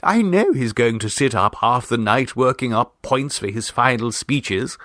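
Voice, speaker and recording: A man voicing a brisk, well-to-do Edwardian wife who is bossy and fussily considerate, in an emphatic posh manner, for a short story reading. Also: medium pitch, expressive, fairly bright tone, dry recording, studio quality. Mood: regretful.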